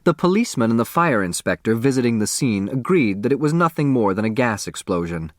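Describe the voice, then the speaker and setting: A man reading a children's novel as an audiobook narrator, in an expressive scripted storytelling style. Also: mid-range voice, brisk, dry recording, studio quality.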